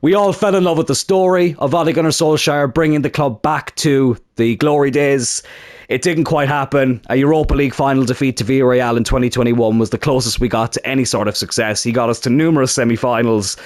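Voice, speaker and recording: A man's voice: medium pitch, brisk, no echo, clean studio sound. A young man talking enthusiastically and casually to his channel's viewers about a football video game. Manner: enthusiastic and chatty. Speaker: gaming YouTuber.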